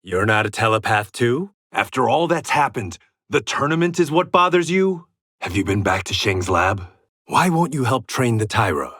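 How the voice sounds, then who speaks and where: A man's voice: mid-range voice, sing-song, moderate pace, a bit bright, slightly rough, no room sound, studio quality. An actor playing a proud warrior and former gangster in a video game, confident and terse, with a sardonic edge to his short fight taunts. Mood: stern, challenging.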